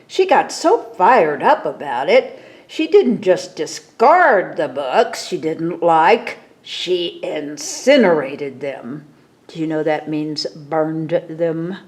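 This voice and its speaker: A woman with a slightly low voice reads a children's picture book at a very unhurried pace. She is expressive and steps out of the text to explain things to young listeners. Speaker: library story time reader. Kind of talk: read-aloud of a children's picture book with asides.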